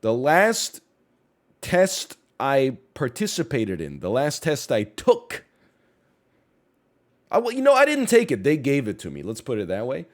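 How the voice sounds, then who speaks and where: A man's voice: medium pitch, huge pitch swings, measured pace, long pauses. Congested young Canadian man rambling on stream. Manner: rambling, self-correcting, jokey asides. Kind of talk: casual chat.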